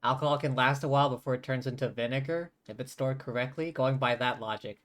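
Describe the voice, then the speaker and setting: A woman's voice: rumbling low, fast. A woman livestreaming a video game, casually chatting and reacting aloud as it goes. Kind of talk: casual live commentary on a game. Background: game music.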